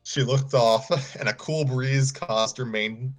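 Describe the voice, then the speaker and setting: A man's voice: mid-range voice, fairly even intonation, takes it slowly, soft background music. A man narrating a story aloud informally, sometimes stumbling and repeating phrases. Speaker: story reader on a livestream.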